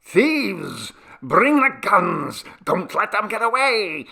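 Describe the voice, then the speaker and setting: A man performs an enraged village hothead in a storybook, bellowing alarms and threats. The pitch is pushed well up, and the tone is piercingly sharp and forceful. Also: sing-song, slow pace, vocal fry, heavily acted. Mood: alarmed fury. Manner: shouting, aggressive. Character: furious male villager raising the alarm, hot-headed avenger. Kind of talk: audiobook story acting.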